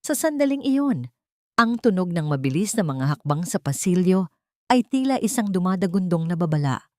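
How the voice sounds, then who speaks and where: A woman's voice: fairly low pitch, very animated, normal speed, no echo, studio quality. A woman narrating a scripted story in a dramatic style.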